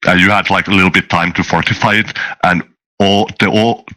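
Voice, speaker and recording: A man talking casually as a game developer, in halting, stop-and-start phrases. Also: deep voice, fairly even intonation, pushed and bright. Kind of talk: casual chat.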